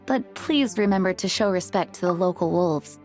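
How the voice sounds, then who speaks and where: A woman voicing a composed adult woman who leads an order of knights, speaking in a calm, measured, formal way. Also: on the low side, faint music behind, close to an everyday voice.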